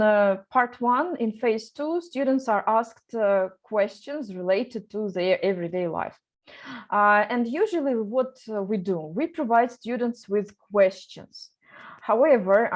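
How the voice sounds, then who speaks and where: A woman's voice: mid-range voice, a little mellow. A woman running an online seminar for teachers, talking with many fillers and restarts. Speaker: teacher trainer.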